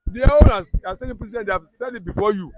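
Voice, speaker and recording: A man's voice: high voice, fast, a little mellow, poor audio. A man with a Yoruba Nigerian accent giving heated political commentary, emphatic and repetitive, often restarting his sentences.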